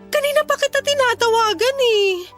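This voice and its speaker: Very high-pitched, anxious young daughter. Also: female voice, animated, moderate pace, fairly bright tone, soft background music. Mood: panicked. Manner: anxious, emotional, pleading. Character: panicked, pleading young daughter fearing for her mother. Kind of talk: radio drama acting.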